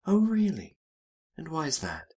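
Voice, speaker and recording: A man voicing a sultry, teasing female outlaw in a noir detective drama, coy and unhurried. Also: fairly high pitch, huge pitch swings, warm tone, slightly rough, voice pushed up, full character voice. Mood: coy, probing.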